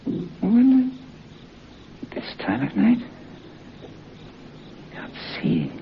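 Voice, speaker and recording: An actor playing a wry, teasing hotel guest in an old radio drama. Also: male voice, high voice, huge pitch swings, very slow, exaggerated voice. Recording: dull old radio recording with hiss.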